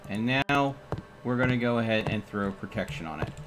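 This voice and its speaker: A man's voice: mid-range voice, animated, normal speed, music in the background, rough recording. A man giving casual live gameplay commentary as a streamer, thinking aloud in short, sometimes repeated phrases. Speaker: video game streamer.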